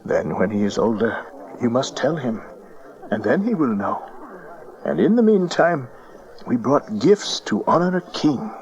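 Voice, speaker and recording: A man's voice: mid-range voice, sing-song, measured pace, a little mellow, lo-fi sound. An actor playing a reverent, visionary wise man from the East in a Bible audio drama, speaking solemnly and expressively.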